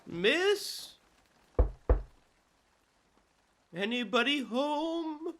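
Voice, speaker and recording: Woman playing a theatrical, high, swooping old-timer. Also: expressive, drawn-out words, background noise, exaggerated voice. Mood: blunt, excited. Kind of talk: radio drama acting.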